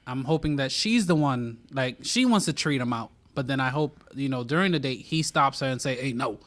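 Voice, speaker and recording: A man on a casual panel, joking and laughing as he talks, with a voice he says is not deep. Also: lively intonation, fairly quick, fairly bright tone, no echo. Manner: joking, laughs while talking, old-school views. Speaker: guest panelist.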